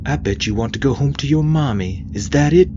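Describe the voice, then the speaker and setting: A man voicing a relentless, taunting military drill sergeant in a sci-fi audio story, loud and full of contempt. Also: medium pitch, fairly quick, dull audio, noisy background, pitched above the performer's own voice, natural voice. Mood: mocking, angry. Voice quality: loud, forceful shouting. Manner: taunting, browbeating.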